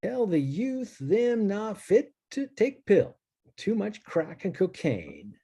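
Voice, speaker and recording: A man's voice: sits high, huge pitch swings, slow pace, dark tone, husky, heavily acted. A man playing a laid-back reggae-loving cannabis grower with a put-on in a play table read. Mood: laid-back, grooving. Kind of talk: table read of a stage play, half-chanted rap. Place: remote video call.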